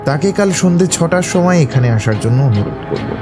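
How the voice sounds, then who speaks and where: A man's voice: a little high, lively intonation, fairly quick, lightly acted. A man playing a self-assured, analytical detective in an audio drama, explaining things in an assured, instructive way. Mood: decisive. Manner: assured, didactic, explaining deductions step by step. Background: prominent background music.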